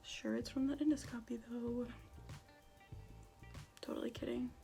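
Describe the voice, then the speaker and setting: Raspy young woman chatting through makeup. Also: fairly high pitch, steady tone, a little slow, warm tone, soft background music. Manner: self-deprecating, jokey, rambling. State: raspy, sore throat. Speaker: beauty vlogger. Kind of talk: casual chat while doing makeup.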